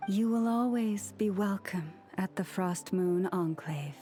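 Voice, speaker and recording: A woman playing a dignified, gracious young priestess leader in a video game, formal and gentle in delivery. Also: medium pitch, unhurried, faint music behind, lightly acted. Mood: serene, gracious. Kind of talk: video game character acting.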